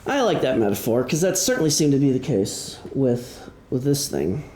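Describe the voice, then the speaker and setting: Guy doing an informal author talk, soft dark tone, kinda wry and self-deprecating, roomy echo. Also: mid-range voice, big pitch swings, moderate pace, a little hiss or hum. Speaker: author giving a presentation. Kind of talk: informal prepared talk to an audience. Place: indoor room, live presentation. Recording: echoey room.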